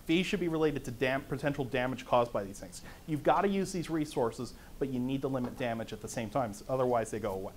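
A middle-aged man with a conversational, lively manner, lecturing to a conference audience in a meeting room. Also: medium pitch, fast, few pauses, a little hiss or hum. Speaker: conference speaker. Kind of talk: lecture on economics.

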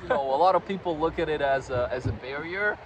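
A man's voice: fairly high pitch, fast, crowd noise, poor audio. A man in his thirties from Croatia, chatting casually as a guest at a park table, with lots of fillers and self-deprecating asides. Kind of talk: casual conversation. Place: park table outdoors on a sunny day. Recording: outdoor traffic noise.

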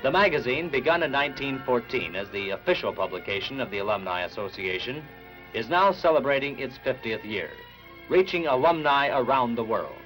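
A man reading scripted 1960s newsreel narration in a measured, formal announcer style. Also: medium pitch, big pitch swings, fairly bright tone, low-quality recording. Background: background music.

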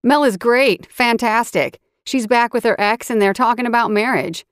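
A woman's voice: medium pitch, lively intonation, a little slow, a bit bright, smooth, dry recording, voice pushed up. A woman reads an angry young man who swears in every breath, protecting his hurt cousin. His lines come out hard and biting. The sound is dry and close. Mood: furious.

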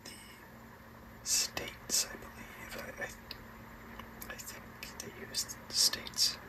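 A person whispering softly while drawing a map for an ASMR video, making easygoing self-deprecating asides about their mistakes. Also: very slow, recorded from a distance, low-quality recording. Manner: laughs lightly at own mistakes.

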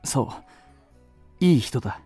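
An actor in a radio drama playing an earnest young student who is curt, guarded and formal. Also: male voice, slow, dark tone, dry recording, soft background music, close to an everyday voice. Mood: stiff, defensive. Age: young adult.

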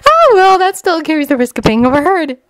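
A woman's voice: high voice, sing-song, fairly quick, fairly bright tone, pitched above the performer's own voice. A woman voicing an impatient preteen ninja girl with a sly, threatening manner. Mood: muttering, sly.

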